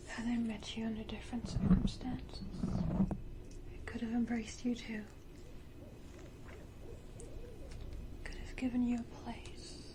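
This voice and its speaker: A woman voicing a proud, condescending vampire matriarch in a breathy, deliberate ASMR whisper, with theatrical pauses and close to the microphone. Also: on the high side, background noise. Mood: pitying, proud. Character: powerful female vampire matriarch, menacingly tender, proud, condescending.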